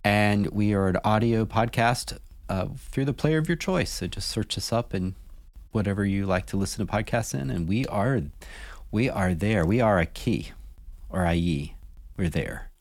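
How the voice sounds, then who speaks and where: A man's voice: lowish voice, normal speed. A man co-hosting a podcast in casual chat, rambling and playful with many restarts. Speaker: podcast co-host.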